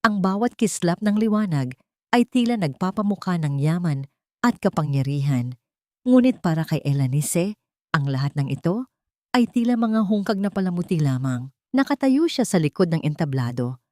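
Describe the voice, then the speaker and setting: Low-voiced woman narrating a dramatic story. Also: very animated, moderate pace, darkish tone, no echo, clean studio sound.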